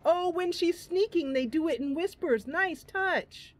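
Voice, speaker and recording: A woman's voice: very high-pitched, animated, a little slow. A woman hosting a live game stream, chatting casually and narrating in a playful, chatty manner. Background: game music.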